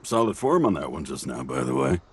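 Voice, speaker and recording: Deep-voiced, easygoing wry buddy played by an actor. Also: male voice, sing-song, brisk, husky, exaggerated voice. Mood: teasing, playful. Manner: casual, playful, wry. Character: easygoing, teasing male family friend with a kind streak. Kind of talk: conversational audio drama dialogue.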